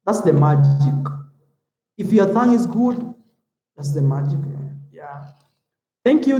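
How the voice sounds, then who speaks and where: A man from Africa, with a high, mellow voice, chats in a relaxed, easygoing way on a livestream. He answers viewer comments and drops "man" and "bro" into his talk. The sound bounces around a roomy, echoey space. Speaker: YouTube vlogger. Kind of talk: casual livestream chat, reading viewer comments.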